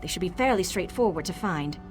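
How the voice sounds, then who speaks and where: A synthetic woman's voice playing a brisk, self-assured engineer in a fantasy video game, speaking with precise, technical confidence. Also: on the low side, animated, over music, close to an everyday voice. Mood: confident, explanatory.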